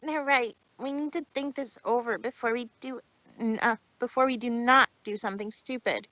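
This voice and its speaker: A woman voicing a proud, self-important female pony in a fan fiction read-aloud, speaking deliberately and indignantly. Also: on the high side, a bit bright, no room sound, lo-fi sound, natural voice. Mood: resolute.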